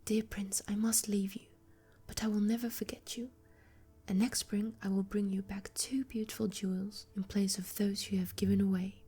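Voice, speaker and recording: A woman voicing a gentle, loyal male swallow in a soft whisper. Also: mid-range voice, little pitch movement, moderate pace, slightly rough. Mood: wistful.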